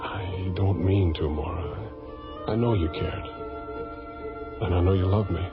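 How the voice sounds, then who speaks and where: Quiet tired scientist guy, real low voice, dull old radio sound w/ music. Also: unhurried. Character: scientist man, reserved and weary. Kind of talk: 1980s radio drama acting.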